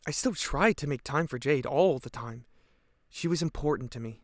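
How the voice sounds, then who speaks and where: A man's voice: a little high, expressive, normal speed, no echo, clean studio sound, natural voice. A man acting a guilt-ridden, earnest teenage boy giving hesitant, reflective testimony in an audio drama. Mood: earnest, defensive. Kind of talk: audio drama monologue, police interview testimony.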